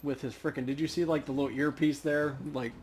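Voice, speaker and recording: A man's voice: a little high, steady tone, fairly quick, a crowd in the background. A man hosting a let's play, giving casual running commentary on the game. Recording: faint background noise.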